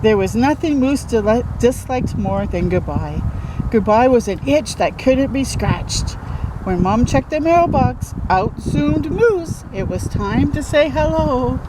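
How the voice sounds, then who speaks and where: Slow-paced woman reading a children's story. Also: on the high side, noisy background. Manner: expressive, interjects comments and questions to young listeners. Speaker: storytime host. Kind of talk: children's picture book read-aloud.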